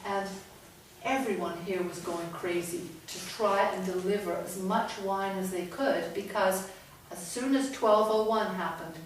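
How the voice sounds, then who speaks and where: Middle-aged lady author, loud voice, chatty talk, faint hiss behind. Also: mid-range voice, a little slow. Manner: chatty, animated storytelling. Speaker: guest author and speaker. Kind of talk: public talk to an audience. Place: large echoey room from far off. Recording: echoey room, distant mic, faint noise.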